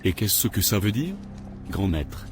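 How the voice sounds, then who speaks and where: Guy voicing a serious cartoon man, fairly low voice, measured, bit of music under it. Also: very animated. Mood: uneasy. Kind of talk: French-language cartoon dub.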